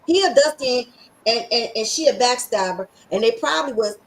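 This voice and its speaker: A woman's voice: on the high side, animated, moderate pace. A middle-aged woman with a scratchy voice hosting a podcast, talking in a sassy, emphatic and preachy way with lots of slang. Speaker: podcast host. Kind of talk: casual motivational chat.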